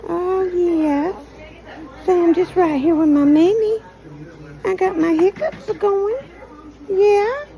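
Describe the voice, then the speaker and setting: A woman's voice: very high-pitched, slow, long pauses, warm tone, some chatter behind, poor audio. A woman cooing to a baby in doting, repetitive, sing-song soothing baby talk.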